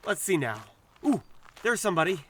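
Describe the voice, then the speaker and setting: A man's voice: sits high, big pitch swings, brisk, a bit bright, a little hiss or hum. An actor playing an awkward, anxious young male doctor in an audio drama, rambling and scolding himself in a flustered, hesitant way. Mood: nervous muttering. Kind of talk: audio drama dialogue with first-person inner monologue.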